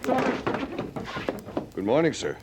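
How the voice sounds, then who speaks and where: An actor playing a deferential, hesitant junior cavalry officer in an old-time radio western. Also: male voice, a little high, wildly swooping pitch, extremely slow, heavily acted. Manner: hesitant, respectful. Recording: faintly noisy vintage radio recording.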